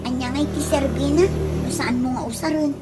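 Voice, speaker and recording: Actress as a high-voiced, blunt Ilocano younger sister. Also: female voice, steady tone, brisk, loud music behind the voice, lightly acted. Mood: teasing, blunt. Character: nosy, blunt younger sister living in a boarding house. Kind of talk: comedy radio drama acting. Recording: noisy radio drama recording.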